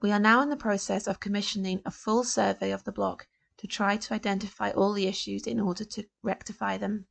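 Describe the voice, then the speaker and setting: A woman reading local newspaper articles aloud as a volunteer, in a deliberate, formal way. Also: medium pitch, level tone, gravelly. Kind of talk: scripted news reading for a talking newspaper.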